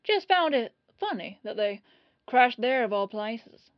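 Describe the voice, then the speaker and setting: A woman's voice: a little high, sing-song, unhurried, no echo. Woman doing a lady detective in an audio drama, acts casual but suspicious, dry slightly muffled sound. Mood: casual suspicion. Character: dogged female police detective, casually probing, quietly suspicious. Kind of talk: scripted audio roleplay.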